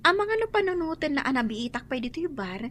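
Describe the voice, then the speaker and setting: A woman's voice: high-pitched, very animated, fast talker, fairly bright tone. A woman with a Filipino accent playing a shy, easily embarrassed young bar waitress in a radio drama. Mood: embarrassed protest. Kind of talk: Ilocano radio drama acting. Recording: radio drama with music underneath.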